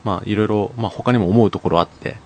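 A man's voice: on the low side, fast, darkish tone. A man co-hosting a casual radio chat, polite and hesitant, playing the straight man. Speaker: radio co-host and voice actor.

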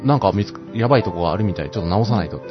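A man explains things politely and casually at a very rapid pace. His intonation is lively, and the recording is somewhat dull with music behind him. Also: fairly low pitch. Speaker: podcast co-host playing advice-giving bartender. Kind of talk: casual advice talk. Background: background music.

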